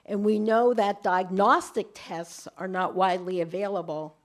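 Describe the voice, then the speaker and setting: Woman giving a talk in a conference room, light voice, kinda halting, repeats herself a lot. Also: medium pitch, fairly even intonation. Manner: halting, repeats words while speaking. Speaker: invited speaker at a webinar. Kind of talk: conference presentation.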